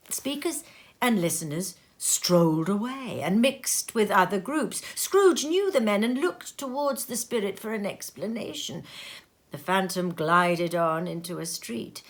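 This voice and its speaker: A woman narrating a classic story aloud in an expressive storybook style, recorded in a sitting room at home. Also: medium pitch. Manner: animated, theatrical character voices in dialogue.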